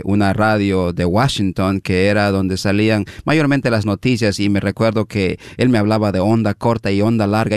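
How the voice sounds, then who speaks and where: A middle-aged man from Guatemala, a minister being interviewed, telling a story in an unhurried, reflective way with many fillers. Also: lowish voice, clear, resonant tone, no room sound, studio quality.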